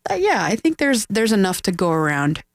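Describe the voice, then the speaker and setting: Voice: medium pitch, very animated, a little fast, no room sound, studio quality. A chatty, friendly filmmaker guest, talking casually in an interview. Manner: chatty, friendly, laughs easily.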